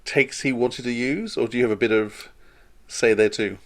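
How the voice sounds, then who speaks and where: A man's voice: medium pitch, moderate pace. Male podcast host asking questions. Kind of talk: conversational interview questioning.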